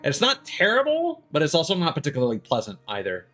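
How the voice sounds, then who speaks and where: A man giving casual live game stream commentary in a rambling, halting, mildly griping way. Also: a little high, wildly swooping pitch, a bit bright, soft background music.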